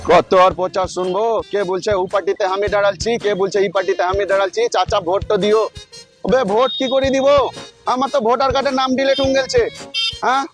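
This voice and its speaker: A man's voice: high-pitched, fairly even intonation, quick pace, close to an everyday voice. A man playing an exasperated, lamenting villager in a comic skit, with a rural West Bengal accent. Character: exasperated villager struck off the voter roll. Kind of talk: comic social skit acting. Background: faint music.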